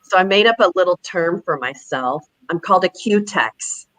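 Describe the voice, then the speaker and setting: Chatty middle-aged woman from Texas, show guest, lowish voice, very dry close sound. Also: animated, normal speed, fairly bright tone, no echo, poor audio. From Houston, Texas. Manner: chatty, laughs as she talks. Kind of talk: casual chat.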